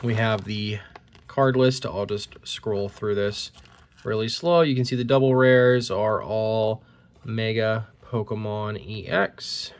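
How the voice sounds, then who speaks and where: A man's voice: fairly low pitch, steady tone, takes it slowly. A man talking casually while opening trading cards, slightly congested from a cold, reading card text aloud, recorded on a phone. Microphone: phone recording at point-of-view angle.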